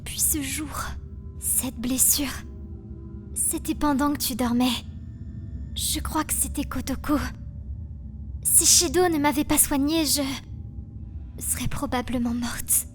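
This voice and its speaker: A woman voicing a gentle, fragile, lovesick prisoner in her twenties, sugary and airy, in a French anime fan dub. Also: sits high, slow, pauses often, soft background music. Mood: wounded, lovesick. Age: 20s.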